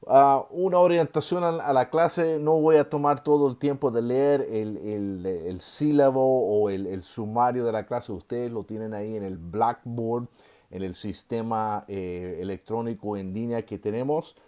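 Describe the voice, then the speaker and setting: A man in his sixties, raised in Chile, giving an online seminary lecture in an unhurried way, with many filler sounds and restarts and the odd gentle joke. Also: medium pitch. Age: 60s. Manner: gently joking aside. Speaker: seminary professor.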